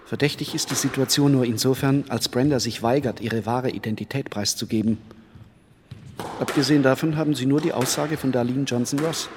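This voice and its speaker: An actor playing a shrewd, composed defence lawyer in a German radio crime drama, speaking formally and precisely. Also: male voice, medium pitch, a little fast, background noise, natural voice. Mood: measured, factual. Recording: clicks and bangs of squash hall behind.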